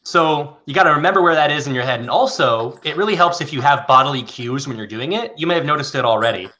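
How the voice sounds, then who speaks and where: A man's voice: on the high side, quick pace, bright, forceful tone, a little hiss or hum. A man who works as a voice actor, chatting casually and explaining how he does it. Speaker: voice actor in a theatre company. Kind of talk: casual interview chat.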